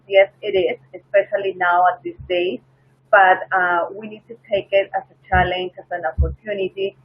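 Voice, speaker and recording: A woman's voice: fairly low pitch, slightly rough, phone-quality sound. A woman from Peru, a guest in an online interview, telling stories in a chatty way with many fillers. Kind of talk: interview over a video call.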